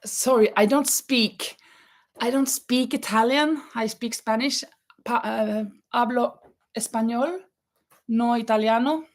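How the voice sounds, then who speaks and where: A woman from Norway chats slowly and easily on a crochet livestream. She counts her stitches aloud and reads viewers' comments, laughing now and then. She sits at home with her yarn behind her. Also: fairly high pitch. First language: Norwegian. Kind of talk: casual live chat while crocheting.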